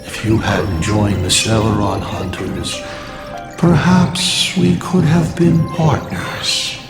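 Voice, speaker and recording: An actor playing a theatrical male villain in a game cutscene, who taunts his foe with jokes and drawn-out phrasing. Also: fairly low pitch. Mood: mocking. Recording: roomy reverb with loud music behind.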